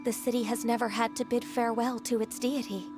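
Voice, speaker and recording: A woman playing a gentle, dutiful half-human adeptus secretary in a video game, with polite, formal, sometimes hesitant speech. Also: fairly high pitch, level tone, brisk, over music, close to an everyday voice. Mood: solemn.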